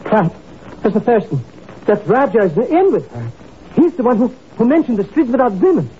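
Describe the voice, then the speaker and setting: High-pitched jittery sidekick, old radio. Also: male voice, moderate pace, heavily acted. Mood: nervous, flustered. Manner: stammering, excitable. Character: jittery, flustered, cowardly male sidekick. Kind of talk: 1950s radio drama acting. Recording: muffled, hissy 1950s radio recording.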